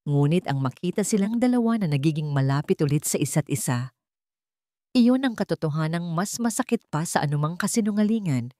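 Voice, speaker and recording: Female narrator for serial romance drama: soft-toned, expressive, recorded close and dry. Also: low-pitched, a little fast, dry recording. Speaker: storyteller. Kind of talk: dramatic scripted story narration.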